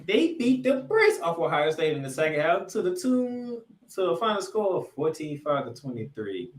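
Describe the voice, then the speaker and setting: Male podcast host, higher-pitched, animated and dramatic sports recap, distant echoey sound. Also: normal speed. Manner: emphatic, showman-like delivery with dramatic announcements. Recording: echoey room, distant from mic.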